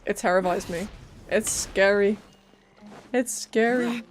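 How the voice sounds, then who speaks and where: A woman's voice: mid-range voice, takes it slowly, slight background noise. A woman chatting casually on a game livestream, joking and talking back to the characters.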